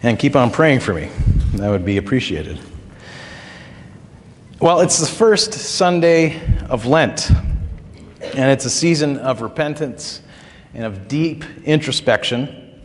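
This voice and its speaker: A man preaching a sermon as pastor, his throat still a bit hoarse, telling stories in a relaxed, conversational way with frequent fillers. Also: mid-range voice, takes it slowly, pauses often, long reverb tail, a little hiss or hum. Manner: conversational, folksy storytelling with filler words. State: recovering throat, slightly hoarse.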